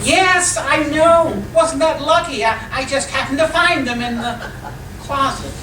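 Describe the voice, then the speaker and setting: A woman playing a gleeful, eccentric gentleman in old-time radio comedy style, giggly, deliberate and singsong. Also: high voice, recorded from a distance, background noise. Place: hall at a lodge, live performance. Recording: echoey live stage recording from afar.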